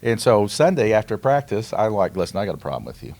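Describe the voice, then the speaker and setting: A man's voice: on the low side, fast talker. A middle-aged man co-hosting a podcast, casually telling an anecdote and acting out bits of dialogue. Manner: animated retelling with quoted dialogue. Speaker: podcast co-host.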